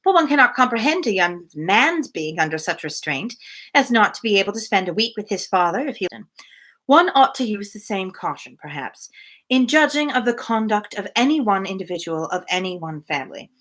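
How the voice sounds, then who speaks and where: A woman voicing a genteel, strong-willed young gentlewoman in a storybook reading, with formal, measured phrasing. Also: medium pitch, big pitch swings. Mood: firm, opinionated.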